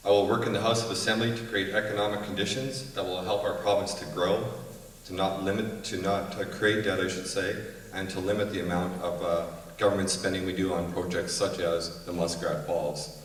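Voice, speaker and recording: A man's voice: sits low, little pitch movement, fairly quick. A man, an election candidate, giving a firm, declarative debate answer and correcting himself now and then. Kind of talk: prepared debate statement. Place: large events hall. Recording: echoey hall, distant mic, faint noise.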